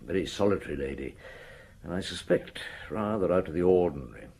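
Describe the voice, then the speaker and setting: An actor playing a senior detective chief in an audio drama, shrewd and thoughtful, musing in a measured way. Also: male voice, deep voice, animated, vocal fry, a little mellow, breathy edge, heavily acted.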